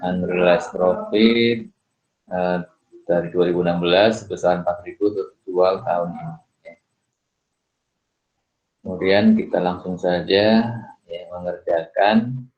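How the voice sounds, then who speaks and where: A middle-aged man giving a recorded accounting lesson, working through problems step by step at an unhurried pace and often filling pauses with "ya" and "eh". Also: low voice, fairly even intonation. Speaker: accounting lecturer. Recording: dull lo-fi recording.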